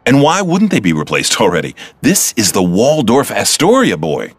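An actor playing a smug, condescending criminal mastermind in an audio drama, with a suave, theatrical delivery that stretches out words. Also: male voice, fairly low pitch, wildly swooping pitch, normal speed, husky, dry recording, full character voice. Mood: mocking, amused.

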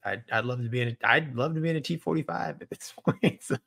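A man's voice: mid-range voice, fast, vocal fry, fairly bright tone. An American man in his forties chatting casually and informally as a podcast co-host. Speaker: podcast co-host, military pilot. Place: small home studio room. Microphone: close studio microphone with headphones.

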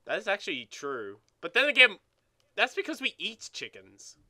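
A man's voice: high voice, huge pitch swings, normal speed, pushed and bright, no room sound. A young man giving casual gaming commentary, rambling and swearing as he banters. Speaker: let's play co-host.

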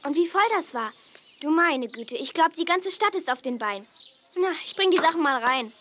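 A woman's voice: high voice, lively intonation, normal speed, background noise. A woman playing a chatty, spirited young girl in a children's audio drama. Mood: breezy.

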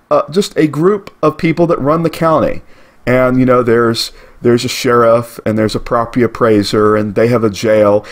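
40s guy doing a local history slideshow, chatty and easygoing, mid-pitch voice. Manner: conversational, lively, asks okay? often. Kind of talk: narrated slideshow history talk.

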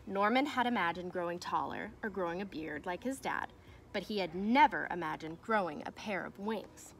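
A young woman reading a children's storybook aloud like a teacher, with expressive, emphatic delivery. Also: on the low side, normal speed. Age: young adult. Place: outdoors in a fenced backyard. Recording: noticeable outdoor ambient noise.

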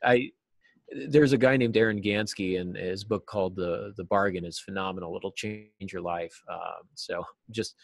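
Low-voiced American man, dry close sound. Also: steady tone, moderate pace, vocal fry, no echo. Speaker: interview guest, novelist and writing teacher. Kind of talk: casual interview answers. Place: small cluttered office, on a video call. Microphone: video call.

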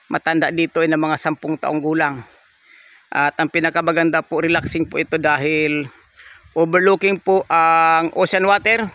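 A man's voice: on the high side, bright, forceful tone. A man, narrating a walking tour of a farm lot for sale, politely pointing things out as he goes. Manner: polite, repeats "yan po" and "oh" while pointing things out. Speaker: real estate agent giving a property tour. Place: outdoors on a coconut farm.

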